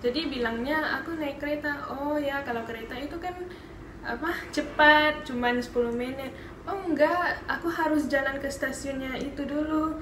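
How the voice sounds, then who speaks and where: A young woman from Singapore, a guest in a casual vlog chat, answering briefly and relaxed with frequent giggles. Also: high voice, moderate pace, roomy sound, background noise. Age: young adult. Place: small bedroom, indoors.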